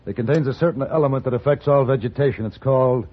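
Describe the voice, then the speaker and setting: An actor playing a cool, courtly Western gunfighter in a 1950s radio drama, speaking in a measured, formal way with dry irony. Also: male voice, mid-range voice, lightly acted. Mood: coolly authoritative. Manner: measured, formal, dryly ironic. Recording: muffled, narrow old-time radio recording.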